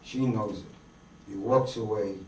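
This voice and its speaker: A man's voice: lowish voice, steady tone, measured pace. A man giving scripted dramatic narration as the narrator of a staged play. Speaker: narrator and performer. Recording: echoey room, distant mic, faint noise.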